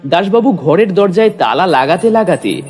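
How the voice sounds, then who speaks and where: A man gives dramatic scripted narration for an audio story. His fairly high voice has very animated intonation, with music playing behind it. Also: normal speed.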